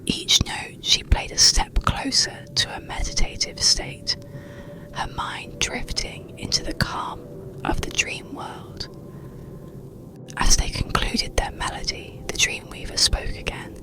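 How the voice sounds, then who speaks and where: A narrator reading a bedtime story in a breathy, unvoiced ASMR whisper, soothing, with pauses between short phrases.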